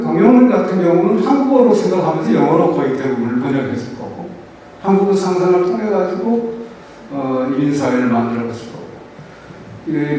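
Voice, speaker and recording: Fast, rambling man heard from afar. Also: on the high side, mellow, very echoey, long reverb tail, distant mic, slight background noise, poor audio. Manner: rambling, with many fillers. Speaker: audience member asking a question. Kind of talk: asking a question at a talk.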